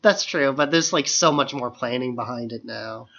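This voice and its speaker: A man's voice: mid-range voice, moderate pace, bright, forceful tone. A man co-hosting a podcast in a casual, conversational chat about film. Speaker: podcast co-host. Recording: slightly dull, lo-fi podcast audio.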